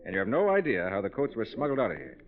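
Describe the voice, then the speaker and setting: An actor playing a confident, probing American private detective in a 1940s radio drama. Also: male voice, on the low side, wildly swooping pitch, background noise. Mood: brisk, businesslike. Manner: brisk, probing questioner. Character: brisk, confident male private detective in charge. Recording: tinny, band-limited old radio recording.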